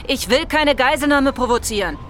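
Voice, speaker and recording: A woman's voice: sits high, animated, moderate pace, a bit bright, a little hiss or hum. A woman playing a commanding, irritated police officer in a radio drama, curt and authoritative. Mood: stern, urgent. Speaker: actor in a radio crime drama. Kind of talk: German radio drama acting. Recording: reverberant hall, music behind.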